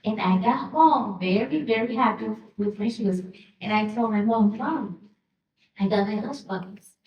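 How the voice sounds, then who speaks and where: Slow-spoken woman. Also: medium pitch, dull audio. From Dominican Republic. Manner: halting, with many fillers and restarts. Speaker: guest speaker. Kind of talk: personal testimony at a luncheon. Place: luncheon hall. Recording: echoey hall, distant mic.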